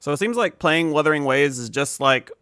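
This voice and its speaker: Bright-voiced man chatting on a game stream. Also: a little high, expressive, brisk, vocal fry, no room sound, studio quality. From United States. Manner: rambling, informal, mild swearing. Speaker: live streamer of video games. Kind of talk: casual live-stream chat.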